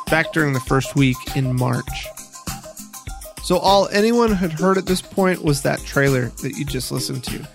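A male podcast host with a somewhat high voice for a man. He works in an interview and audio documentary style, and music plays clearly behind his voice. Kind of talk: interviewing and scripted documentary narration.

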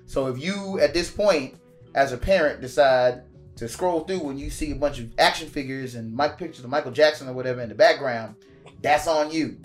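A man co-hosting a casual podcast, hoarse and raspy from coughing, ranting quickly and laughing. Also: mid-range voice, soft background music. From DC area. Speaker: podcast co-host. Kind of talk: casual profane podcast banter.

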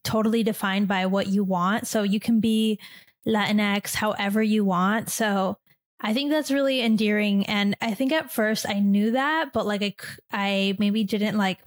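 A woman's voice: mid-range voice, unhurried. A young woman from Chile raised in the US, hosting a casual solo podcast and talking in a chatty, rambling way full of "like". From born in Chile, raised in the US. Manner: chatty, rambling, many "like"s and restarts. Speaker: podcast host.

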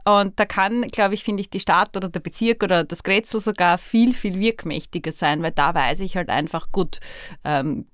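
A woman's voice: lowish voice, moderate pace, dry recording, studio quality. A woman with an Austrian accent hosting a podcast, talking reflectively and searching for her words. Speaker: podcast host. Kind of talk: reflective conversational interview.

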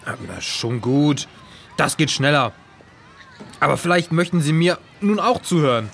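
Guy playing fussy officious pool lifeguard in a kids audio play, voice a bit high, gets flustered. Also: animated, a little slow, creaky, a bit bright, slight background noise, full character voice. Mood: defensive, officious. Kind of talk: children's audio drama.

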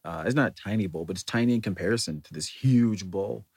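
A man's voice: fairly low pitch, quick pace, darkish tone, husky. A man casually telling a rambling personal anecdote, often correcting himself and chuckling as he goes. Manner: rambling, self-correcting storyteller.

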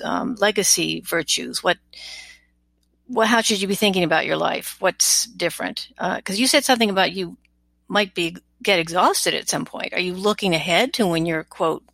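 A woman's voice: lowish voice, creaky, fairly bright tone, dry recording. A middle-aged or older woman hosting a podcast, asking questions conversationally and rephrasing them as she goes. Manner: tentative, rephrases questions mid-sentence. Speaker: podcast host, interviewer. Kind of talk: conversational interviewing.

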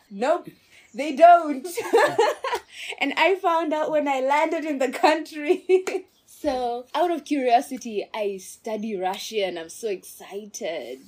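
A young woman from Kenya with a Botswana English accent, telling her story in a casual interview and laughing often. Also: fairly high pitch. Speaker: doctor interviewee.

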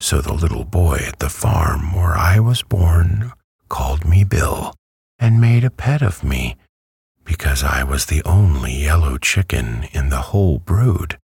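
A man reading a storybook who voices a prim, easily offended female yellow hen. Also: a bass voice, animated, measured pace, vocal fry, slightly rough, dry recording, studio quality, voice pushed up, exaggerated voice. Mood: indignant.

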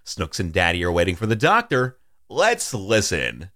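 A man hosting a podcast, reading a measured scripted introduction in a studio. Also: low voice, huge pitch swings, bright, forceful tone, no echo. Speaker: podcast host.

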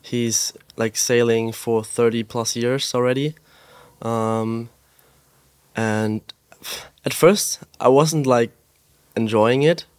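A young man from Austria, a guest in a podcast interview, talking in a relaxed way with lots of "like" and "um" and an easy laugh. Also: mid-range voice, smooth, no room sound. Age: young adult. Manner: relaxed, fills pauses with "like" and "um", laughs easily. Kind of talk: casual interview chat. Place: pop-up store.

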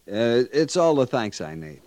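An actor playing an earnest young cavalry lieutenant in a radio drama, with a polite, gentle, formal manner. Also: male voice, mid-range voice, sing-song, a little slow, dry recording. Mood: kind, bashful. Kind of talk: 1950s radio drama acting. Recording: hissy vintage radio recording.

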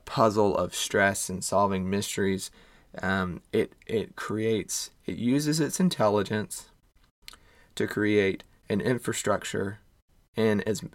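A man hosting his own wellness podcast, slightly hoarse, upbeat and encouraging, with corny jokes and some thinking aloud. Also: on the low side, dry recording. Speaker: podcast host. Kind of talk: casual solo podcast talk.

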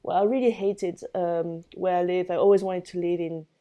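A woman's voice: fairly low pitch, fairly even intonation, moderate pace, mellow, highs cut off. A woman in her twenties from France, guest editor answering interview questions casually with many hesitations. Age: 20s.

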